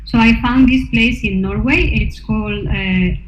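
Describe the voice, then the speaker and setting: A woman's voice: a little high, measured pace. A woman artist presenting her research to slides, hesitant, with many fillers and restarts. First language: not Norwegian. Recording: echoey room, dull sound, faint noise.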